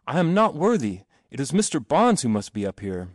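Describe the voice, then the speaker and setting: A man voicing an eager, earnest young boy in a storybook reading, exclamatory and excitable. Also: medium pitch, fairly quick, dry recording, clean studio sound. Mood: humble, pleading.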